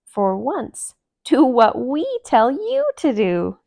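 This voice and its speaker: A woman's voice: a little high, wildly swooping pitch, slow pace, creaky, mellow, full character voice. A woman voicing a firm, practical male animal who leads his friends in a children's storybook.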